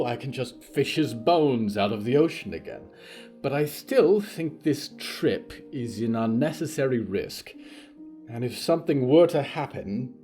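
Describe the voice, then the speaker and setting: A man's voice: mid-range voice, dark tone. A man voicing a proud, protective, irritable man in an audio horror drama, speaking in an insistent, formal way. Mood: defensive, worried.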